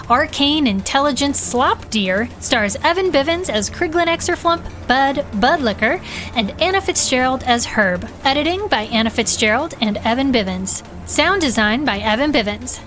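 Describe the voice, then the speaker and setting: High-pitched woman reading podcast credits. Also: fairly bright tone. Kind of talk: scripted credits narration.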